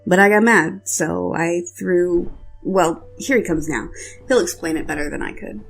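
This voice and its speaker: Low-voiced, offhand young fighter played by a woman. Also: moderate pace, vocal fry, slightly rough, soft background music, poor audio. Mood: sheepish. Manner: offhand, conversational.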